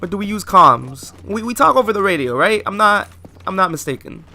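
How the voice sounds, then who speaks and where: A man's voice: sits high, big pitch swings, fairly quick, bright, forceful tone. A man giving post-recorded commentary over gameplay, chatty and rambling, often asking viewers questions. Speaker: let's play host.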